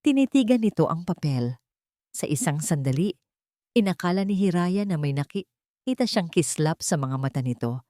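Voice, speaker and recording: Female narrator for dramatic romance fiction, lower register, solemn and measured, dry close recording. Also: animated, dark tone, no room sound. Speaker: story narrator. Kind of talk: dramatic scripted narration of a love story.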